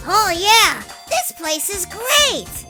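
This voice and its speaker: A performer voicing an excitable, cheerful little boy bee in a bubbly, exclaiming way for a cartoon comic dub. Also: very high-pitched, very slow, soft background music, heavily acted. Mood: excited. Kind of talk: comic book fan dub cartoon acting.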